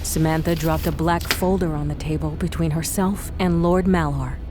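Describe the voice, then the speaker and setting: A woman's voice: deep voice, fairly even intonation, moderate pace, music in the background, natural voice. A woman narrator reading scripted, dramatic narration for an adventure audio drama.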